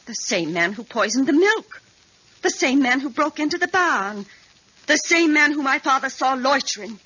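An actress playing a cold, oddly composed, insistent daughter in a murder case, in 1950s radio drama style with clipped delivery. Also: female voice, high-pitched, very animated, moderate pace, pushed and bright, exaggerated voice. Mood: flat, insistent. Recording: dull lo-fi 1950s radio recording.

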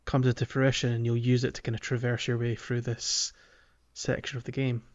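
Male podcast host, mid-pitched, casual gamer chat with meandering, hesitant explanations, slightly lo-fi sound. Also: level tone, a little fast. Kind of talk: casual video game discussion.

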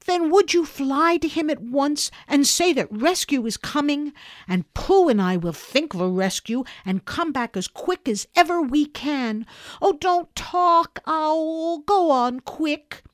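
A woman's voice: high-pitched, animated, slow, no echo, studio quality, voice pushed up. A woman voicing an eager, caring young boy who takes charge in a children's storybook, exclaiming earnestly. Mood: urgent. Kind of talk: children's storybook character reading.

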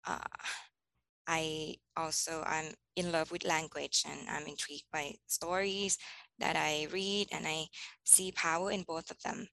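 A woman's voice: deep voice, fairly even intonation, unhurried, creaky, pushed and bright, no echo, low-quality recording. A woman in her thirties from Thailand, a teacher answering interview questions thoughtfully, with frequent ums and restarts. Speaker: teacher guest.

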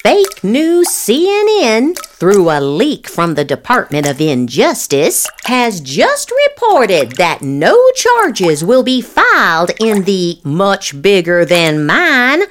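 A woman's voice: mid-range voice, wildly swooping pitch, slow pace, few pauses, slight background noise. An American woman hosting a show, reading social media posts aloud in a dramatic, emphatic way with exaggerated inflection. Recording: loud music bed behind the voice. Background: loud music.

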